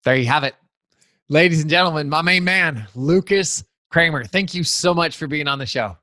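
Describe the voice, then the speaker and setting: A man's voice: fairly high pitch, moderate pace, bright, forceful tone, no room sound, studio quality. Upbeat motivational male podcast host. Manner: enthusiastic, motivational, repeats phrases for emphasis. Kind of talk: upbeat podcast outro and interview wrap-up.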